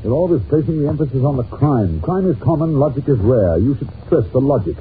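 Brilliant, irritable English sleuth male actor, British, very animated and exclamatory, radio drama, muffled recording with clear noise. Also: medium pitch, fairly quick, natural voice. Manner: brusque, pompous, exclamatory. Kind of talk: old-time radio drama acting. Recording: muffled, noisy old-time radio recording.

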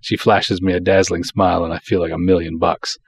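Male reader as hard-boiled small-town cop, earnest, smitten, lowish voice, first-person pulp storytelling. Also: flat delivery, fairly quick, gravelly, husky, no echo, full character voice.

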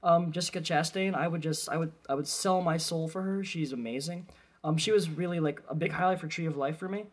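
A man with a high-pitched but slightly rough, husky voice chats casually about films. He sounds like a co-host on an informal movie podcast. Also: a little fast.

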